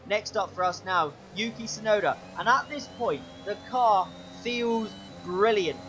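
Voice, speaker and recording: A man commentating his own racing game run, excitable play-by-play with a sore, strained throat. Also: a little slow, slight background noise. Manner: excitable play-by-play, pitch jumps when overtaking. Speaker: gaming channel host.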